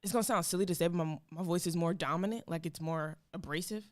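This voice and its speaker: A woman who is a TV news reporter, a guest in a casual chat over a video call, with a deep, commanding voice. She is easygoing and laughs often. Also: fast talker, no echo, clean studio sound.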